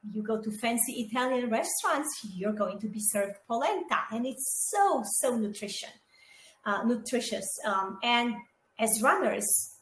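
A woman's voice: on the high side, fairly bright tone. A middle-aged woman from Romania, co-hosting a podcast in a chatty, casual way, with many fillers and asides to her listeners. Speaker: podcast co-host. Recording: echoey room with faint background noise.

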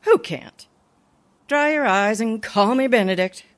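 Animated, wry lady schoolteacher. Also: on the high side, unhurried, over loud music. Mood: relenting. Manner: wry, admonishing. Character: wry adult female schoolteacher, sensible but soft-hearted. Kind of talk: old-time radio comedy acting.

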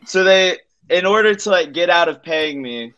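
Male comedian guest, somewhat high voice, loose conversational storytelling, halting and self-interrupting. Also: expressive, fairly bright tone, clear, resonant tone, muffled recording.

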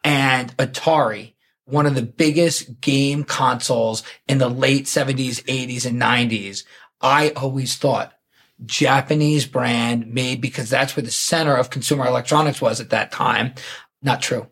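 Male podcast host, enthusiastic storyteller, husky tone. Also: mid-range voice, fairly even intonation, a little slow, fairly bright tone. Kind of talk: casual podcast chat.

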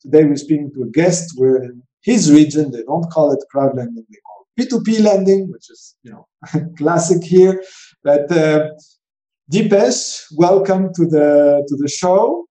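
A man hosting a podcast interview, with a lively, upbeat delivery that lifts sharply in pitch. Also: measured pace. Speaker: podcast host. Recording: roomy echo with faint music.

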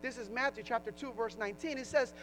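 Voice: mid-range voice, quick pace, fairly bright tone, faint music behind. A pastor from Puerto Rico with a New Jersey accent, preaching a sermon in a conversational way, often restarting phrases and rising into emphasis.

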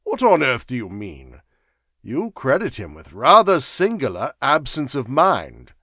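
Guy doing an exasperated gentleman detective in an audiobook, emphatic, very animated, dry sound. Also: medium pitch, a little slow, no echo, heavily acted. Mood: puzzled. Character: impatient, astonished gentleman leading an investigation.

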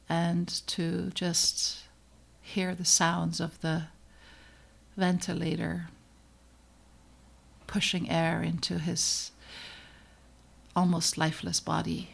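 A middle-aged woman from the Netherlands hosts her own podcast, speaking slowly and deliberately with a low-set voice. Her tone is earnest and reflective, and a gravelly fry runs through it as she lets pauses land. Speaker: podcast host, pediatrician. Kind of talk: scripted personal podcast narration.

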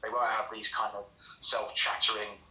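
A man talking as a podcast guest over a call, his voice very bright and sharp. He speaks in a relaxed, reflective way with frequent ums and kind-ofs. The sound is roomy, with echo around him. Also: fairly low pitch, moderate pace. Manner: relaxed, hesitant with fillers. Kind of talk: casual interview chat. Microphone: remote call recording.

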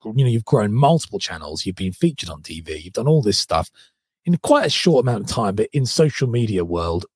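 A man hosting a podcast, his voice hoarse and husky. He talks eagerly and loosely, restarting his questions as he goes. The sound is dry and close. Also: on the low side, big pitch swings, brisk, a little mellow, dry recording. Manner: enthusiastic, rambling questions with many restarts. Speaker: podcast host. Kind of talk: casual interview chat.

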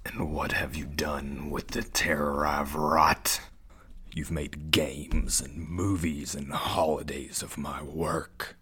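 An actor playing an ancient, grandiose serial killer in an audio play, ominous and hateful, with a gravelly rasp. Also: male voice, little pitch movement, pushed and bright, full character voice. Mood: hissing threat. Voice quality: very deep, raspy, gravelly. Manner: slow, pompous, ominous. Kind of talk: theatrical audio play monologue.

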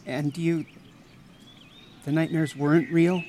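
A man acting in an audio drama as a caring, excitable and devoted young friend, earnest and expressive. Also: fairly high pitch, slow pace, a little hiss or hum. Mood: tender concern.

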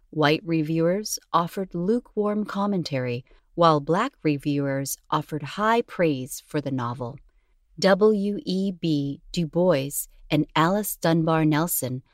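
A woman reading a scripted podcast narration as its narrator, with measured, emphatic phrasing. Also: low-pitched, no room sound, studio quality. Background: music under the voice.

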